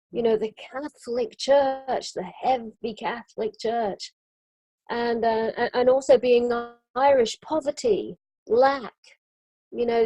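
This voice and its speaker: A woman from Europe with a husky, soft-edged voice that sits a little high and sounds mellow rather than bright. She talks earnestly and expressively in a casual spiritual chat, laughing now and then. The sound is dry and close, as on a video call. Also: dry recording, low-quality recording. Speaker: summit guest.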